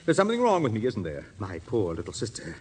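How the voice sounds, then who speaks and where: A low male voice as a brooding, possessive older brother. He rambles with bitter intensity in vintage radio melodrama style, over faint recording noise. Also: wildly swooping pitch, quick pace, darkish tone. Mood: bitter, agitated. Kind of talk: 1940s radio drama acting.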